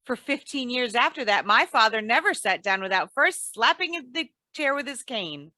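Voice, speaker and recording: Actress as shrewd, affectionate sitcom-style radio wife; bright forceful tone, dry close sound, teasing comebacks. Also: female voice, a little high, lively intonation, moderate pace, no echo. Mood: wry, fond. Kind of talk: 1940s radio comedy acting.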